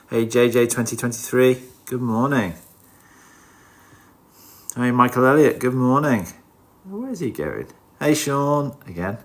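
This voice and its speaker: Guy with a lowish voice, bit bunged up with a cold, nasal, casual livestream chat, mumbles to himself. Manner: informal, muttering asides to himself. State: has a head cold. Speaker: livestream host. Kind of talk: casual livestream chat, greeting viewers while looking over fish tank.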